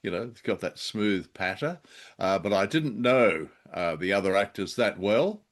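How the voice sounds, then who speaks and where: A man's voice: fairly low pitch, animated, normal speed. A middle-aged or older man co-hosting a casual discussion of old-time radio, wry, self-deprecating and a little hesitant. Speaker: podcast co-host.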